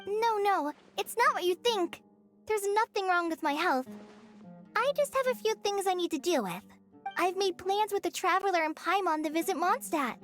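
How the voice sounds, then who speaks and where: A woman's voice: very high-pitched, expressive, moderate pace, soft background music. A woman voicing an earnest, courteous young girl, a forest ranger in a video game. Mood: reassuring. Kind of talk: animated video game voice acting.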